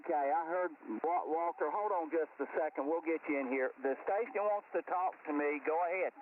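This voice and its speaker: A man's voice: a little high, brisk. A man who is a regular of an amateur radio group, talking casually with other operators over a shortwave transmission. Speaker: ham radio group regular, roster keeper. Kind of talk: casual two-way radio chat. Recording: thin narrowband ham radio, distant, static. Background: radio static.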